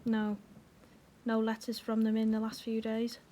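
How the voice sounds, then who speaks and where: A woman playing a devoted, reassuring 1940s wife in an audio drama, soothing and gently teasing. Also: a little high, monotone, unhurried, a little hiss or hum, close to an everyday voice. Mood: affectionate, teasing. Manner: soothing, encouraging.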